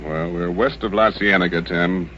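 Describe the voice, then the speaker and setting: An American man playing a calm, authoritative homicide inspector in an old-time radio detective drama. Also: sits low, moderate pace. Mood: cool, businesslike. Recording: dull noisy old radio recording.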